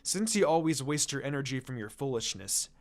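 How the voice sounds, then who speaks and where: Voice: mid-range voice, expressive, a little fast, pitched below the performer's own voice, natural voice. A narrator voicing an aloof young ninja boy in a story reading, terse, serious and dismissive.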